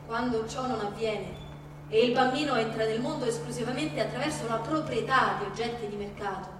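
High-voiced woman reading in an echoey hall. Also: noisy background. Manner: measured, declamatory delivery with deliberate pauses. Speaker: stage performer. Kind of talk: dramatic stage reading of literary texts. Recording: very echoey hall from far off, music behind. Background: live music.

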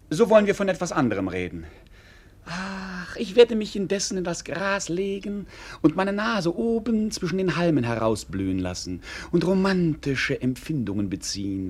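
An actor playing a roguish, earthy fool and idle vagabond in a classic radio play, clownish and mock-solemn. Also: male voice, on the high side, darkish tone.

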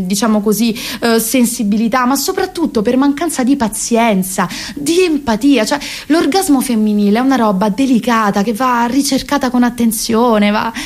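A woman of about thirty with a Roman Italian accent performing a comic theatrical monologue in an expressive, confiding, colloquial way. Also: fairly high pitch. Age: around 30. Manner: confiding, expressive, colloquial, asks rhetorical questions. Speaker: actress and monologuist.

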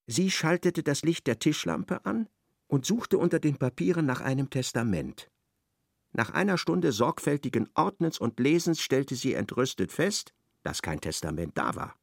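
A man's voice: a little high, very animated, normal speed, gravelly, slightly rough, clean studio sound, exaggerated voice. A man narrating a literary radio drama as a wry storyteller who echoes an aggrieved wife's thoughts. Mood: measured, grave.

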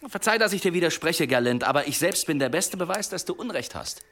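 A man in a radio play acting a courteous traveller who tells a sly tale in a polite, unhurried way. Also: fairly high pitch, animated, fairly bright tone. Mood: courteous, earnest. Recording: music under the voice.

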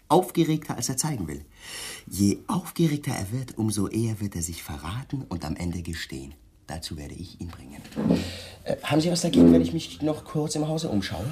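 An actor playing a police lieutenant who questions in a polite, insinuating, deliberate way, in a German radio crime drama. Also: male voice, sits low, very animated, dark tone, husky, full character voice.

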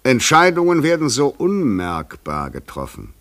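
A man's voice: medium pitch, wildly swooping pitch, a little slow, fairly bright tone, full character voice. A man acting an eloquent, world-weary fugitive in a literary radio play, speaking poetically and rhetorically. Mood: wistful, ironic. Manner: poetic, rhetorical, theatrical delivery.

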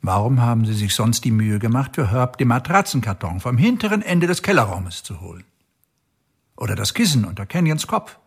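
Dogged sheriff, husky voice with lively pitch, radio play. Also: male voice, brisk, full character voice. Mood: earnest. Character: dogged worn male sheriff, case-obsessed.

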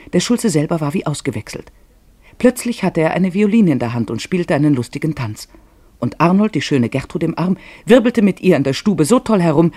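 Dry, husky male storyteller. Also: mid-range voice, darkish tone, no echo. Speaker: narrator. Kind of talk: scripted literary narration for a radio play.

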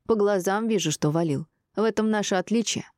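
A woman's voice: mid-range voice, a little fast, a little mellow, no echo, studio quality, pitched above the performer's own voice, close to an everyday voice. A woman reading the part of a stubborn, insistent ex-husband, an indignant male doctor, in an audiobook. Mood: bitter scorn.

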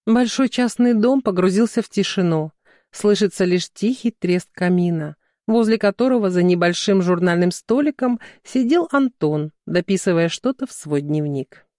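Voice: medium pitch, normal speed, a little mellow, no echo, studio quality. A narrator reading scripted fiction. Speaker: audiobook narrator.

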